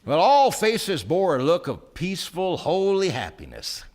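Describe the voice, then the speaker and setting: A man's voice: high voice, wildly swooping pitch, a little slow, darkish tone. A man narrating a scripted story dramatically on a theatre stage. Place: theatre stage, live performance.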